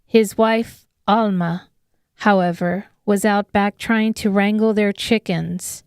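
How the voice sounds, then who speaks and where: A woman's voice: mid-range voice, steady tone, slow pace, darkish tone, smooth, dry recording, clean studio sound. A woman reading scripted narration of an apocalyptic horror audiobook. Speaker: author narrating her audiobook.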